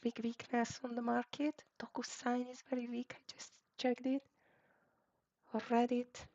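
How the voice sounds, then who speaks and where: A woman's voice: a little high, completely flat delivery, unhurried, darkish tone. A woman with a Hungarian accent, hosting a live trading stream and calling out stock tickers in clipped bursts with fillers. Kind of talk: live market commentary. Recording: dull lo-fi stream audio.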